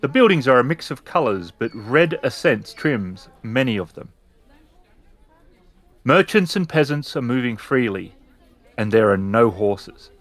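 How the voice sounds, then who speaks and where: A man's voice: mid-range voice, animated, unhurried. A man narrating a tabletop roleplaying game as storyteller, setting scenes descriptively.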